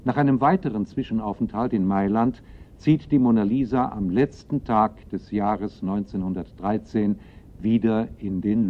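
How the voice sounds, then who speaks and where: Male storyteller, radio play. Lowish pitch, scripted dramatic delivery, slightly dull sound. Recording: slightly muffled radio recording.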